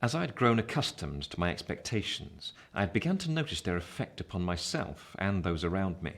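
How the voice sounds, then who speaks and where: An actor playing a reflective Victorian gentleman narrating his own life story, measured and literary. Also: sits low, sing-song, exaggerated voice. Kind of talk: scripted narration.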